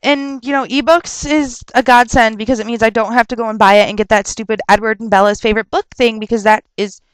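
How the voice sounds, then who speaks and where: A woman's voice: fairly high pitch, fairly even intonation, clear, resonant tone, no room sound, rough recording. Chatty young woman with a stuffy nose. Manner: chatty, rambling, quick to laugh and rant. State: stuffed up with a sore throat. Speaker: vlogger answering viewer questions. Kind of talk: casual rambling webcam vlog.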